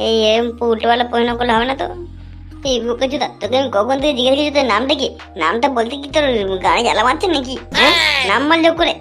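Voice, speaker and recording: A woman's voice: a little high, very fast, fairly bright tone, soft background music, lightly acted. A woman voicing a blunt, foul-mouthed young village clothes seller in a cartoon, given to grumbling and scolding. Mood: irritated scolding. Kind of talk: cartoon dialogue.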